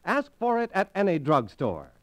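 A man's voice: sits high, huge pitch swings, normal speed. An American man reading scripted 1930s radio advertising as an announcer. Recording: restored 1930s radio transcription disc.

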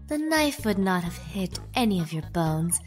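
A woman voicing a proper, motherly goat monster in a cartoon dub, with formal, measured diction. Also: medium pitch, sing-song, faint music behind, close to an everyday voice. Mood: dawning embarrassment. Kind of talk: cartoon comic dub acting.

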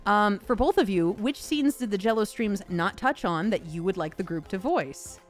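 A woman voice actor hosting a casual question-and-answer chat in an expressive, conversational way. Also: medium pitch, normal speed, music in the background.

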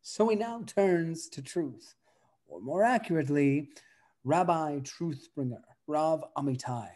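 A man's voice: on the high side, measured pace, darkish tone. A young man reading scholarly narration with a wry touch over a video call from an indoor room. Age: young adult. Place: indoor room with bare wall.

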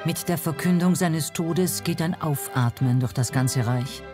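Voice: medium pitch, measured pace. A narrator reading a scripted documentary narration. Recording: music bed under narration. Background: music.

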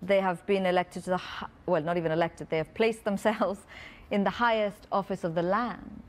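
A woman in her thirties from Pakistan, an author reading from her book and answering questions on a festival stage, earnest and measured. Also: medium pitch, steady tone. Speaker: author guest. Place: festival stage before a large audience.

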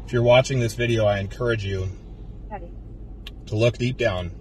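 An American man in his thirties, a police officer, giving a solemn, deliberate prepared speech to camera in a car. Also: medium pitch, steady tone. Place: inside a car. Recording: slightly distant mic, faint car noise.

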